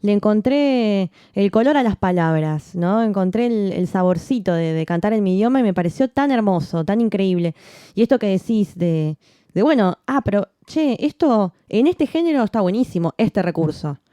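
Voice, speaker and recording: A woman, a jazz singer co-hosting a podcast, talking in a relaxed, reflective way with frequent restarts. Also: medium pitch, normal speed, no room sound, dull audio. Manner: reflective, many restarts and "¿no?" tags. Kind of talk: casual chat.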